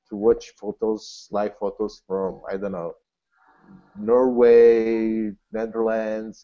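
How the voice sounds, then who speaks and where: Male guest, low-voiced, searches for words, muffled web-call sound. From Romania. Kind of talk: casual interview chat.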